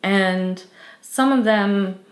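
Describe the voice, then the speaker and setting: A woman's voice: mid-range voice, drawn-out words, echoey room. A young woman with a French accent, a native French speaker, answering interview questions earnestly and thoughtfully, with many fillers and restarts.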